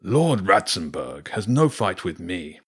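A man's voice: sits low, sing-song, slow, a bit bright, slightly rough, full character voice. A man voicing a tough, bold rat mercenary leader in a storybook, commanding and defiant. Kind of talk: audiobook character voice.

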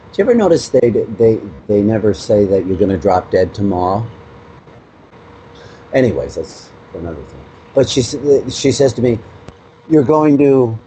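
A man's voice: medium pitch, mellow. A man speaking as an interview guest, explaining at length in an emphatic, repetitive way, full of rhetorical questions. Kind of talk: spiritual discussion, explaining at length. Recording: noisy mobile internet connection.

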